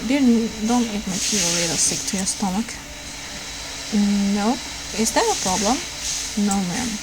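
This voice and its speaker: Female let's player, middle register, reads visual novel script with casual asides, noisy home recording. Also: medium pitch. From Indonesia. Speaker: let's play streamer reading dialogue aloud. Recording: clear background noise, raw home recording.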